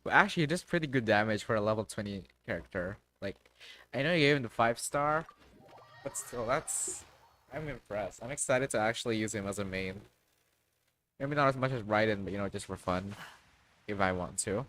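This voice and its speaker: Low-voiced man streaming a game, offhand. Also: animated, brisk, a bit bright, a little hiss or hum. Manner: slangy, offhand reactions. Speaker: livestreamer playing a game. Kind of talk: casual gameplay commentary.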